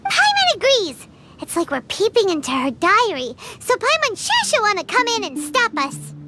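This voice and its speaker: A woman voicing a tiny, excitable floating female companion who chatters eagerly in a game. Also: extremely high pitch, normal speed, exaggerated voice. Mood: curious. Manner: refers to herself in third person, eager. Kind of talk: game voice acting. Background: music.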